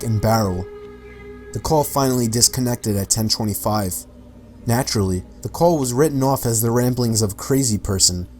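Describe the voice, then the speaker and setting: Male narrator, scripted mystery documentary read, mid-pitched voice, music underneath. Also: darkish tone. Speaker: video narrator.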